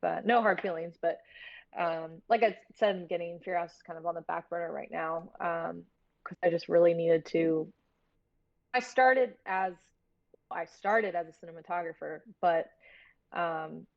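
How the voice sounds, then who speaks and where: A woman's voice: fairly low pitch, steady tone, normal speed, gravelly, no room sound, highs cut off. A woman chatting casually and candidly as an interview guest, talking like a filmmaker about her work.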